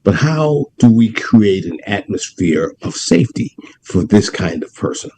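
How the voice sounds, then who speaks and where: A man's voice: fairly low pitch, big pitch swings, a little slow, warm tone, a little hiss or hum. A male talk show host asking his guest questions with enthusiasm, often repeating words as he frames them. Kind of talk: interviewing a guest.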